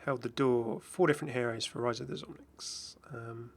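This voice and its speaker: A man's voice: mid-range voice, steady tone, moderate pace. A man talking casually and unscripted as a gaming YouTuber, rambling chattily as he goes. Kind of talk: casual unscripted video commentary and channel update.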